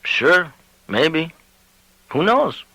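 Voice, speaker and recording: A man's voice: a little high, sing-song, drawn-out words, creaky, bright, forceful tone, dry recording, pitched above the performer's own voice, heavily acted, low-quality recording. A man voicing a weary small-town sheriff in an audiobook, folksy and uncertain. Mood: noncommittal.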